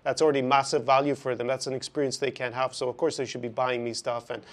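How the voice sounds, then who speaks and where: A man's voice: medium pitch, level tone, quick pace. A man hosting a podcast, talking and asking questions in a conversational interview style.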